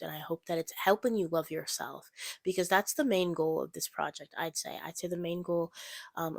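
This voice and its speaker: A teenage girl hosts a solo podcast in a lowish, gravelly voice, her nose a little stuffy and her throat slightly sore. She rambles along in an earnest, encouraging way. The sound is close and dry. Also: dry recording. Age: teens. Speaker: podcast host. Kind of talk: solo casual podcast talk.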